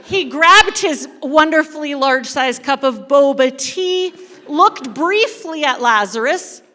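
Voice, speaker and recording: High-voiced woman storyteller in a reverberant hall. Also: lively intonation, takes it slowly, bright, forceful tone, a little hiss or hum. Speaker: church storyteller leading a live dramatic reading. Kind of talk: animated storytelling to a live audience, with asides to participants. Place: large indoor church room. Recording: reverberant hall, live event sound.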